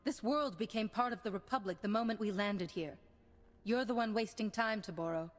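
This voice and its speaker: A woman's voice: medium pitch, normal speed, natural voice. An actress playing a resolute, composed female Republic hero and negotiator in a video game. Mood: defiant. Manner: firm, composed, authoritative.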